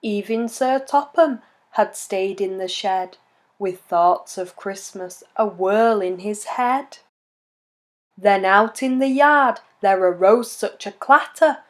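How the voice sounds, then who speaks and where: A woman reading a rhyming children's picture book aloud in an expressive, measured storytelling manner. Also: medium pitch. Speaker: children's story reader and channel host.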